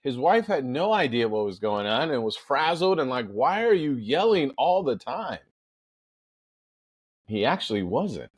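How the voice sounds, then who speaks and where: A middle-aged man with a powerful voice, hosting a podcast in an emphatic, deliberate motivational monologue with storytelling. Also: mid-range voice, no echo. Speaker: podcast host.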